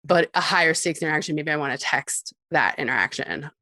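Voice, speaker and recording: A woman with a notably low voice talks as an interview guest. She explains things thoughtfully and conversationally, with casual fillers like "like". The sound is close and dry. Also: animated, a little fast, sharp tone, no room sound. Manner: thoughtful, articulate, peppered with "like" and small chuckles. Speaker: interview guest, tech company CEO and co-founder.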